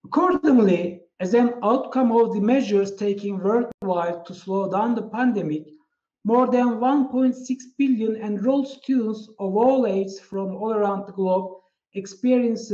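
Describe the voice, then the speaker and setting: A Turkish-accented man gives a measured, prepared keynote with a fairly high, mellow voice. The sound is dull and muffled, as if recorded with a low-grade mic in an echoey room. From Turkey. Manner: measured, reads from slides. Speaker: keynote speaker.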